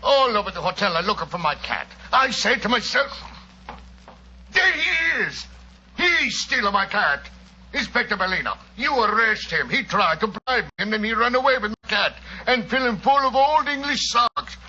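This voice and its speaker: An actor playing an excitable, outraged Italian man speaking frantic broken English in a 1940s radio play. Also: high voice, moderate pace, heavily acted. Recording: dull, noisy 1940s radio recording.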